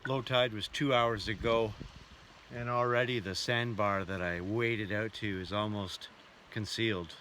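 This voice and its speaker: A man's voice: fairly low pitch, fairly even intonation, unhurried, background noise. A man hosting a travel documentary, narrating casually as he explores.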